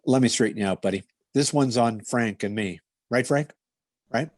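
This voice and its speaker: An actor playing a stoic, no-nonsense police detective in a 1950s-style radio crime parody, deadpan, clipped and matter-of-fact. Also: male voice, medium pitch, normal speed, no room sound, studio quality, close to an everyday voice. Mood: stern. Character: stoic, no-nonsense male police detective narrating his case.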